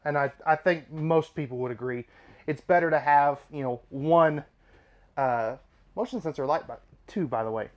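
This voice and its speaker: Nasal, upbeat man touring a trailer. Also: a little high. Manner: upbeat, chatty salesman, casual asides. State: slightly nasal from allergies. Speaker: RV dealership presenter. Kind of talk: product walkthrough tour. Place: inside a travel trailer.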